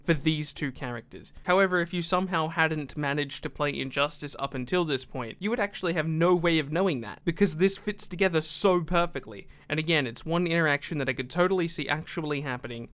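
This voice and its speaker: Casting: young male gaming YouTuber, fairly high clean voice, casual fan enthusiasm. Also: brisk, hardly any pauses, a bit bright, smooth.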